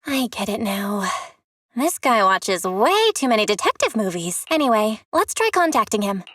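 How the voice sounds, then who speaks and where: An actress playing a lively, sceptical young woman in a video game, with a teasing, expressive delivery. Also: mid-range voice, moderate pace, dry recording, studio quality. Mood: puzzled, teasing.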